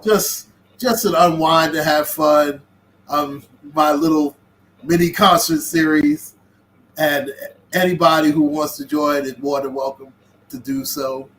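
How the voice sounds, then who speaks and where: A man hosting a casual live chat who talks haltingly, with many pauses and fillers. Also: a little high, fairly even intonation, a bit bright, slight background noise, rough recording. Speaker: stream host.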